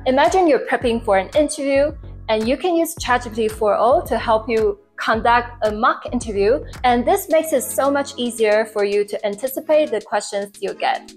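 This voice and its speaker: A woman's voice: a little high, normal speed, soft background music. A young woman hosting an upbeat explainer video, talking to camera. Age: young adult. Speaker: tech tips video host. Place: living room, indoors.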